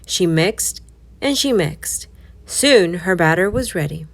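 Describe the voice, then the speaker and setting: A woman narrating a children's storybook aloud in an expressive, scripted read-aloud style. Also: lowish voice, slow pace, vocal fry.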